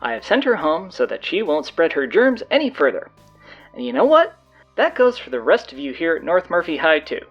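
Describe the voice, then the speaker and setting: Bossy teenage girl announcer played by a woman for audio drama: low register, swooping delivery, blunt sarcasm. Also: very animated, a little fast, slightly rough, highs cut off, faint music behind, heavily acted. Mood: brisk, sardonic. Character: bossy, sardonic teenage high school girl taking over announcements.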